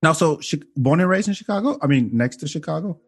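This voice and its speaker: A man's voice: a little high, expressive, fast, slightly rough. A man from Senegal hosting a podcast, telling loose, joking stories with casual swearing. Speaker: podcast host.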